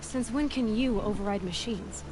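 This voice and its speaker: A woman's voice: medium pitch, normal speed, noisy background, lightly acted. An actress playing a guarded, headstrong young woman hunter in a video game, talking in a blunt, dry, sarcastic way. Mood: guarded, frustrated.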